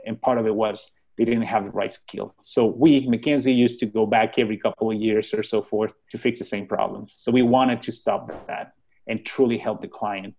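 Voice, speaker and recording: A man from Chile speaks as an interview guest in a low, steady voice that stays close to one note. He explains things step by step and fills the pauses with small hesitations. The recording sounds somewhat dull and muffled. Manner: measured, explanatory, with frequent fillers. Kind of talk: casual interview conversation.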